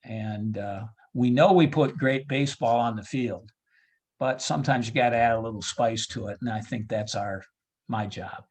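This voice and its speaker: A man with a medium-pitched voice speaks as an interview guest about his work as a ballpark announcer. His delivery is casual and halting, as he thinks out loud. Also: breathy edge. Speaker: baseball PA announcer being interviewed.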